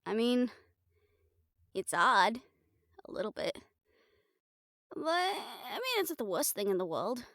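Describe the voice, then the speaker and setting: A woman playing a caring, blunt, teasing young girlfriend in an improvised audio roleplay, chatting casually and haltingly to the listener. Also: a little high, sing-song, fairly bright tone, no echo, clean studio sound, heavily acted. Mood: playful, blunt. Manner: casual, halting, playful swearing, affectionate teasing.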